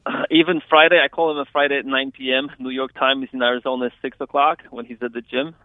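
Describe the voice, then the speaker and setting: A man's voice: mid-range voice, little pitch movement, quick pace, no echo. A man from Poland with a Polish accent, calling in to a coaching show and talking casually with fillers and restarts. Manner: hesitant, lots of fillers and restarts. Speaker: caller, real estate agent. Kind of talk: casual phone call. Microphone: phone. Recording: tinny phone line.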